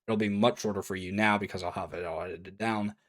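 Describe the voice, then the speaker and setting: A man reading a scripted review, with casual offhand asides and a voice gone strained and a little hoarse. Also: low voice, steady tone, quick pace. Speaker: video reviewer.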